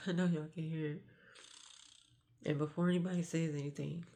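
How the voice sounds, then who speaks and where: A middle-aged woman vlogging a hushed, confessional talk early in the morning, with a stuffy nose from getting sick. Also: low-pitched, fairly even intonation, normal speed. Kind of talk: confessional vlog.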